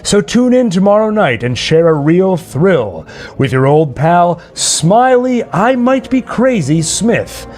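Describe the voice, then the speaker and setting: Man as 1940s radio-play disc jockey, showy, teasing, very lively pitch, drawn-out emphasis. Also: exaggerated voice. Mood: excited, teasing. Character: breezy 1940s radio disc jockey hyping a haunted-house stunt. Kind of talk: classic radio play acting.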